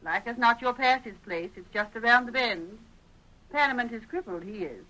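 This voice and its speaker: Nosy old west gossip lady, rural western twang, sharp bright voice, chattery old radio acting. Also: a little high, animated, normal speed, rough recording. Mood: gossipy, indignant. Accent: rural American Western. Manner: folksy, emphatic, chattering. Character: gossipy, folksy frontier busybody woman, opinionated and chatty. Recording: old radio drama recording.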